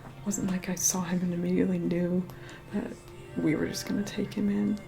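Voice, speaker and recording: Woman voicing a glamorous vampire woman, ASMR roleplay, breathy whispers, even delivery, grudgingly kind, loud music behind. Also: on the low side. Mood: wistful, tender. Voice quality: soft, breathy, often whispered. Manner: slow, condescending, grudgingly kind. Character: aloof, reluctantly caring glamorous vampire woman.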